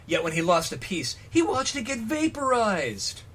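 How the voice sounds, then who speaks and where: A man's voice: high voice, sing-song, moderate pace, a bit bright, husky. A man reading fan fiction aloud in a mock-dramatic style, breaking into jokey, profane casual commentary.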